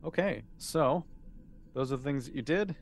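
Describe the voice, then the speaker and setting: A man's voice: mid-range voice, lively intonation, moderate pace, soft background music. A man acting as game master, casually narrating a tabletop game and calling for rolls.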